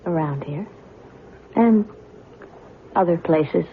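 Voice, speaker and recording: An actress playing a cool, enigmatic woman reporter in a radio drama, measured, knowing and self-assured. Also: fairly low pitch. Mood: evasive. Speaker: actor. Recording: tinny, band-limited old radio with hiss.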